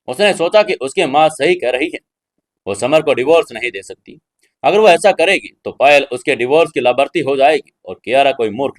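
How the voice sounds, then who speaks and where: A man's voice: a little high, no echo. A man narrating a scripted audio drama serial in a storytelling style.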